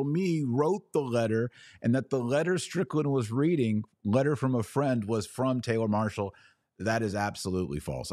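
A man's voice: deep voice, darkish tone, no echo, clean studio sound. An American man hosting a live commentary podcast, answering comments in a blunt, opinionated way and repeating phrases for emphasis.

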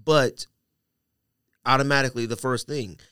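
A man's voice: mid-range voice, fairly even intonation, moderate pace, sharp tone, clean tone, dry recording, studio quality. A man hosting a podcast in casual conversation, with blunt, opinionated, slangy talk. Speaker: podcast host. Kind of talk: casual chat about sneakers. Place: studio.

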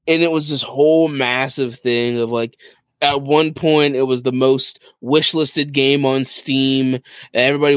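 A man's voice: medium pitch, clean tone, no echo. A man talking casually and emphatically about video games as a podcast co-host, with slangy phrasing.

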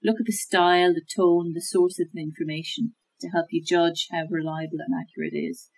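A woman talking through how to check information, in a measured, thoughtful way with many fillers and restarts. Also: fairly low pitch, lively intonation, rough recording. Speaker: guest expert on media literacy. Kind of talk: conversational explanation.

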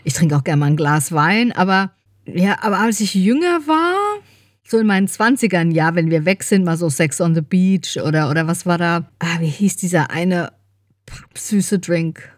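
A woman's voice: lowish voice, expressive, normal speed, dry recording. Middle-aged woman, colloquial podcast chatter, dry sound. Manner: colloquial, peppered with tag questions. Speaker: podcast co-host. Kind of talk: casual chat.

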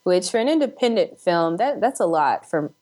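Female interview guest, lower-pitched voice, upbeat and conversational. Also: sing-song, moderate pace, a little mellow. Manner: upbeat, chuckles while talking. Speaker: interview guest, actor and film director. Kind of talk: casual interview chat.

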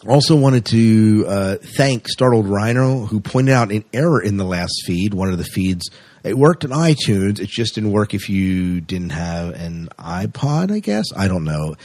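A man's voice: fairly low pitch, lively intonation, unhurried, darkish tone, no room sound. A man co-hosting a podcast in a casual, chatty, jokey way with rambling asides. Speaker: podcast co-host.

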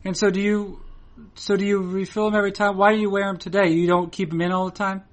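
A man hosting a podcast, talking in a dry, wordy, formal deadpan. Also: sits high, quick pace. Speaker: podcast host. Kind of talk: deadpan scripted intro and ad read.

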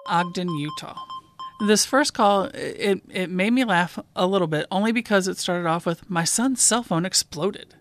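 A woman's voice: on the low side, normal speed, soft background music. A woman who works as a police dispatcher and hosts a show, talking through cases in a conversational commentary.

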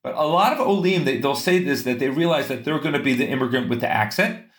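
A man's voice: medium pitch, expressive, quick pace, a bit bright, roomy sound. A man speaking as a guest in a casual interview. He talks earnestly in long runs and restarts his sentences often. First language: English.

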